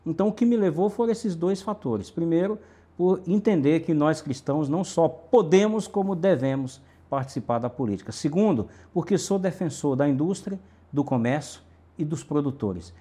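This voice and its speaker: A man's voice: fairly high pitch, expressive, moderate pace, dark tone, husky. A middle-aged man from Brazil's Northeast, a city councillor answering interview questions emphatically with lists and repetition.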